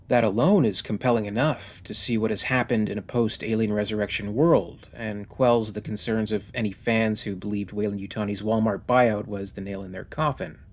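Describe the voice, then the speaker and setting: A man hosting a video review, reading scripted narration in a measured, articulate and formally phrased way. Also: fairly low pitch.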